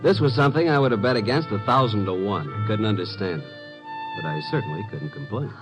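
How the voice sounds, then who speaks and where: An actor playing a wry, flirtatious detective's assistant who narrates breezily in 1950s radio drama style. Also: male voice, fairly low pitch, lively intonation, fairly quick, music in the background, close to an everyday voice, poor audio. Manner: wry, breezy, smooth-talking.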